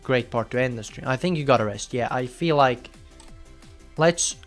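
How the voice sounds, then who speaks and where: Excitable young man streaming. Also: medium pitch, normal speed, soft background music. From Finland. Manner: chatty, bursts into loud excited outbursts. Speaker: game streamer. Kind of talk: casual live gameplay commentary.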